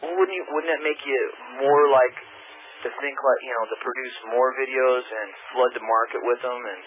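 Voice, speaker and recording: A man with an average-pitched voice calls in to a radio show. He talks casually and earnestly, rambling a little with hesitant starts, over a slightly noisy phone line. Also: steady tone, moderate pace, a bit bright.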